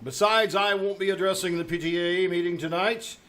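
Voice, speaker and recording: A man's voice: high-pitched, fairly even intonation, a little fast, a bit bright. A man playing a pompous, easily flustered middle-aged school principal in an old-time radio comedy, blustering and hemming. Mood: huffy. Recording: noisy live stage recording.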